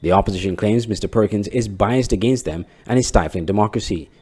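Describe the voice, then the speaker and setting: A man's voice: on the low side, fairly quick, mellow, husky. A man, reading a scripted report as a news reporter.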